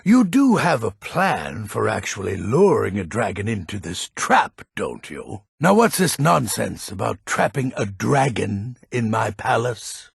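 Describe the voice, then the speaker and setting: An actor playing a grumpy, proud old Nordic man in a fantasy video game, with a gravelly, raspy voice and a gruff, theatrical delivery. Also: mid-range voice, no echo, studio quality, exaggerated voice. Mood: gruff, resolute. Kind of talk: video game character dialogue.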